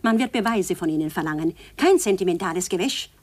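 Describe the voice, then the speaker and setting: An actress playing a proud, scornful middle-aged aunt in a 1950s German radio play, with an imperious, biting manner. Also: female voice, fairly low pitch, animated, brisk. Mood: scornful, defiant. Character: proud, scornful, defiant aunt accused of murder. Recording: 1950s radio drama recording.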